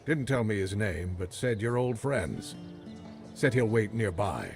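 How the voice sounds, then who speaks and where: An actor playing a blunt, sardonic knight in a video game, with music behind. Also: male voice, on the low side, big pitch swings, a little slow. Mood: dry.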